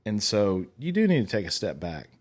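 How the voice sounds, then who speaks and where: A man's voice: fairly low pitch, sing-song, normal speed. A man in his forties hosting a podcast as a casual, blunt, joking chat. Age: 40s. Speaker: podcast host.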